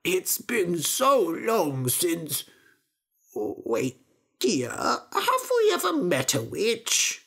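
A woman voicing a foolish, bossy old father who sounds high-pitched and excited and draws his words out slowly. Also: creaky, breathy edge, exaggerated voice. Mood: excited, scornful.

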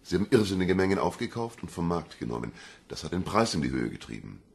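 An actor playing a gruff, self-assured detective with a gravelly voice in a crime radio drama. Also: male voice, sits low, sing-song, fast talker, exaggerated voice. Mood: explaining, insistent. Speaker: police investigator.

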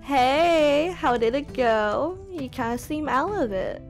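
A woman's voice: high-pitched, animated, takes it slowly, faint music behind, full character voice. A woman voicing a teasing, affectionate young man with a playful, doting manner.

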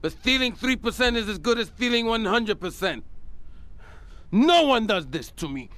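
High-pitched, ranting, paranoid drug lord. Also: male voice, big pitch swings, normal speed, a bit bright, no room sound. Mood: scheming, commanding. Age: middle-aged. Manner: explosive, theatrical ranting. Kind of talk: video game cutscene acting.

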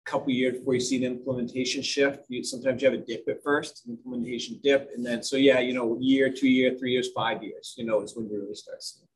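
A man's voice: medium pitch, level tone, fast, rough recording. A man, a school administrator, speaking plainly at a board meeting with loose, filler-heavy phrasing. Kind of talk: meeting remarks.

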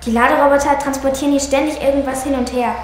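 A woman's voice: sits high, steady tone, quick pace, darkish tone, natural voice. A woman playing a bold, bossy young space princess in a children's audio drama, feisty and impatient. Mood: bold, suspicious. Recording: very echoey, faint ship ambience.

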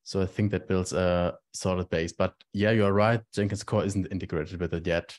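A man's voice: sits low, steady tone, moderate pace, a little mellow. A man on an online project meeting, explaining technical details in a plain, matter-of-fact way. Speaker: meeting participant.

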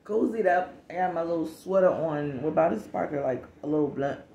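A woman's voice: deep voice, a little fast, creaky, mellow, a little hiss or hum. A woman in her late twenties, raised in Cameroon, speaks English as her second language in a laid-back podcast monologue. She is candid and swears freely. The phone recording picks up a roomy echo from the space around her. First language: not English. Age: 20s. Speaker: podcast host. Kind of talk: casual monologue. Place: home room, seated at a table.

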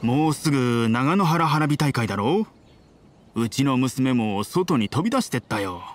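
A man's voice: medium pitch, animated, measured pace, close to an everyday voice. An actor voicing a friendly village man in a video game, a doting and slightly wistful father.

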